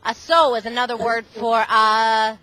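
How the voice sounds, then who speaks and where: A woman reading a storybook aloud to children in a classroom, with animated, expressive storytelling. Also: a little high, measured pace. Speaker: reading tutor and visiting parent reading to a class. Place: school classroom. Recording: very noisy amateur classroom recording.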